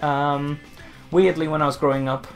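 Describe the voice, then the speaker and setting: A man's voice: fairly high pitch, fairly even intonation, moderate pace, soft background music. A young man from Wales with a light Welsh accent, chatting casually to camera as a gaming YouTuber answering questions, rambling with restarts and fillers.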